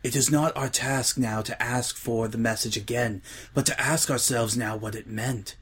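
A man doing a calm soft-spoken changeling. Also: mid-range voice, normal speed, husky. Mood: soft measured. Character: calm, doubtful male changeling elder.

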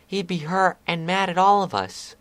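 A stubborn, indignant little pegasus filly from a storybook reading, her voice pushed up high and earnest, as a man puts her on. The sound is dry and close, with no room behind it. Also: lively intonation, normal speed, pushed and bright, no room sound. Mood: upset. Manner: pitched up, earnest and upset.

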